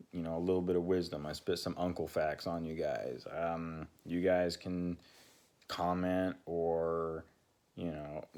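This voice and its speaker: A young man with a deep voice, talking casually to his channel's viewers and rambling with many fillers and restarts. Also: warm tone. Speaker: channel co-host. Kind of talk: casual talk to camera.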